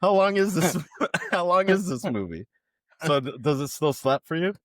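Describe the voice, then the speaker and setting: A man's voice: fairly high pitch, expressive, normal speed, fairly bright tone, no echo, clean studio sound. A man hosting a casual film podcast, joking with co-hosts and reading out the credits. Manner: leads the show, reads out film credits, jokey. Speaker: podcast host.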